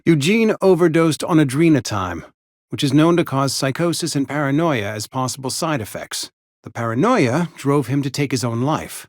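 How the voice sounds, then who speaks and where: Man acting a fussy, animated small-town undertaker. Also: mid-range voice, dry recording, clean studio sound, close to an everyday voice. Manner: formal, wordy, florid, over-polite. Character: fussy, mournful, company-loyal frontier town barber and undertaker. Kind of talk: theatrical video game character acting.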